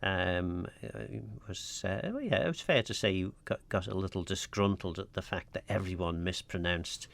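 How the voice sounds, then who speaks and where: A man's voice: low-pitched, moderate pace, creaky, few pauses, a bit bright. A man presenting a radio show in casual chat, hesitant and full of fillers and restarts. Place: radio studio.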